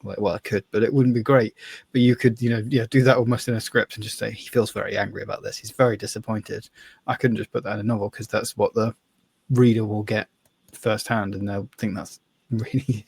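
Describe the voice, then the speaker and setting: Low, husky man musing on a podcast. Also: dry recording. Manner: tentative, rambling, softens points with asides. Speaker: podcast co-host, novelist. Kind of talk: casual discussion.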